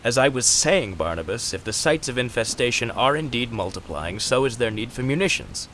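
A callous Victorian patriarch voiced by a man, curt and imperious. The haughty, contemptuous delivery swings dramatically in pitch, in the theatrical manner of a comic dub. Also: very animated, brisk, fairly bright tone, slight background noise. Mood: brisk.

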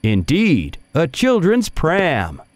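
A woman voicing a small, helpful, slightly puzzled navigator gadget in a children's cartoon, with a deliberate, swooping delivery. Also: deep voice, wildly swooping pitch, exaggerated voice. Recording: music behind the voice.

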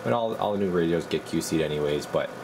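A man speaks in a deep register with plenty of gravelly fry. He is plain-spoken and informal, thinking aloud as he demonstrates repair work like a technician. A clear bed of background noise sits behind his voice. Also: fast talker. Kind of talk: casual hands-on repair demonstration.

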